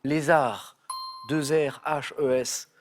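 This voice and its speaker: A man's voice: on the high side, fairly even intonation, takes it slowly. A man hosting a television quiz show in a studio, playful and chatty with the contestants.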